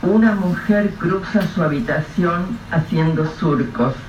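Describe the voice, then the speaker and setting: A man narrating a radio drama in a measured, grave storytelling voice. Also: a little high, a little mellow. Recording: echoey, distant, dull lo-fi with faint hiss.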